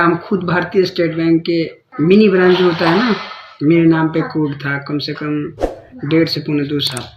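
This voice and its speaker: A man from India with a Bhojpuri accent, talking casually and colloquially, often repeating words and phrases. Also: fairly high pitch. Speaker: content creator warning followers about scam calls. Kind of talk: casual phone call and informal talk to viewers. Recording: echoey, clearly noisy phone call recording.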